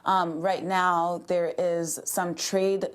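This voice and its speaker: A woman's voice: lowish voice, flat delivery, slow, clean tone. Woman reporter asking questions. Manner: friendly, attentive questioning. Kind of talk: interviewing.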